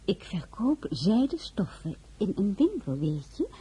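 Very breathy, mellow, emotional royal wife. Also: female voice, medium pitch, very animated, a little slow, heavily acted. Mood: proud, candid. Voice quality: soft, breathy. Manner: intimate, hushed, feeling-laden. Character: tender, emotional royal wife, proud and candid. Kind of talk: audio drama acting with first-person narration.

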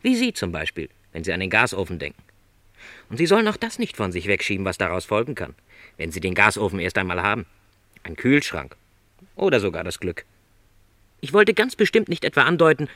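An actor playing a middle-aged travelling salesman in a radio play, gentle, earnest and lonely, with courteous, careful, apologetic phrasing. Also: lowish voice, no echo.

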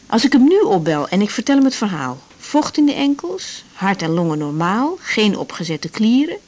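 A woman's voice: mid-range voice, very animated, normal speed, a little mellow. A woman playing a caring, frank young doctor in a naturalistic radio drama. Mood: caring, brisk.

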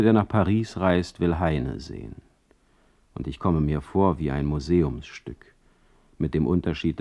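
A sardonic exiled poet of the 1800s with a very deep bass voice. He delivers his letters deliberately, with urbane irony and mock politeness, in a radio drama style. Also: dark tone, exaggerated voice. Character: sardonic, witty male exiled 19th-century poet writing letters.

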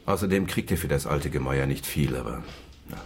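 A sardonic country police lieutenant in a radio crime drama. His voice is deep and his delivery dry. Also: animated, brisk, gravelly, a little mellow, slight background noise, exaggerated voice. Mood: matter-of-fact, dry.

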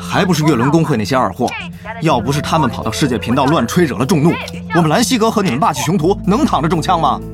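An actor playing a serious, exasperated young online guild leader in an audio drama. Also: male voice, fairly high pitch, expressive, fast talker, music in the background, close to an everyday voice. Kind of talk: Chinese audio drama acting.

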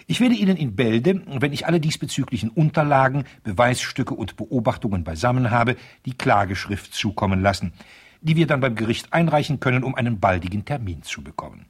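Stuffy self-important male lawyer in old radio play, husky, very animated melody, super formal wording. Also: mid-range voice, a little fast. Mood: formal, insistent. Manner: pompous, stilted formal diction. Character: pompous divorce lawyer, vain and insistent, writing formal letters. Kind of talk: 1970s radio play, letter read aloud in character.

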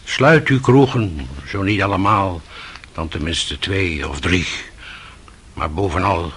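An actor playing a grave, kindly old holy monk in a radio play, speaking in a solemn, measured manner. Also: male voice, sits low, fairly bright tone, breathy edge, heavily acted. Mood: stern, admonishing.

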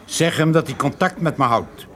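An actor playing a man who makes deliberately casual small talk to pass on covert information in a radio play. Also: fairly high pitch, a bit bright, close to an everyday voice. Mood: casual, guarded. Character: man covertly passing messages through loud café small talk. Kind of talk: radio drama dialogue. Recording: radio play with busy chatter behind. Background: café chatter.

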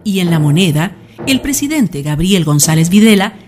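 A woman's voice: lowish voice, very animated, normal speed, a little mellow. A woman delivering scripted dramatic narration for a radio play.

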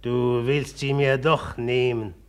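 A brooding, menacing rival. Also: male voice, mid-range voice, fairly even intonation, smooth. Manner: slow, menacing, mocking. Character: brooding, jealous young man with a knife. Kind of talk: 1950s radio play acting.

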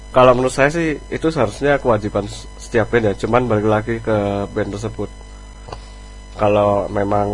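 A man's voice: fairly low pitch, poor audio. A man chatting casually as a band musician being interviewed, hesitant and thinking aloud.

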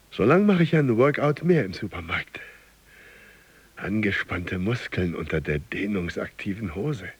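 An actor playing a wistful man dreaming of getting slim, speaking in halting husky fragments in a radio drama monologue. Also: fairly low pitch, a bit bright, dry recording, exaggerated voice. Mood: hopeful. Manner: halting fragments, at times dropping to a whisper. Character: wistful self-improving dieter dreaming of being slim.

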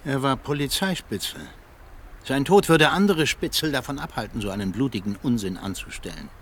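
An actor playing a haughty, hostile old field marshal, curt and contemptuous, in a German radio drama. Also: male voice, medium pitch, a little fast, fairly bright tone, a little hiss or hum, natural voice.

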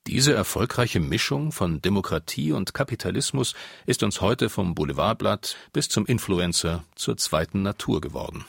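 A man's voice: fairly low pitch, expressive, normal speed, gravelly, a bit bright, slightly rough, dry recording, studio quality. A man narrating a scripted radio documentary.

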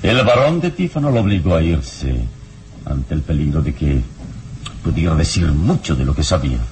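An actor playing a calm, wise, fearless adventurer in an old radio serial, speaking solemnly and reassuringly. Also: male voice, very deep voice, normal speed. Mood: grave, explaining. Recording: faintly noisy old radio recording.

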